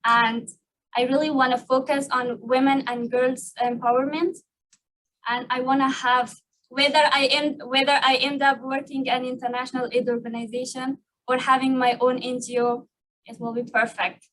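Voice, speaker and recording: A woman's voice: sits high, little pitch movement, moderate pace, fairly bright tone, low-quality recording. A young woman student answering on a panel, eager and direct.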